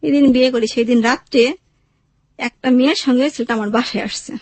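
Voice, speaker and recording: A high-pitched woman with a Bangladeshi accent tells a personal story. Her speech is plain and colloquial, full of quoted exchanges, and the recording is close and dry. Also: fairly quick, darkish tone, no room sound. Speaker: interview guest telling own life story.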